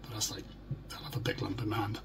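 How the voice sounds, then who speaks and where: A middle-aged British man talks casually to his camera in a low, heavily creaky, croaky voice. His delivery is halting and downbeat, like a personal diary. The home recording carries clear noise. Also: little pitch movement. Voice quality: croaky, gravelly. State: hoarse. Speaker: vlogger. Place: small furnished room at home. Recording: noisy home phone or webcam recording.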